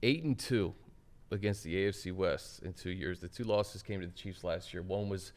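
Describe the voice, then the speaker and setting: Male sports host, fairly low voice, conversational, leads with questions. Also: normal speed. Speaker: sports talk show host. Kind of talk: conversational hosting, asking questions.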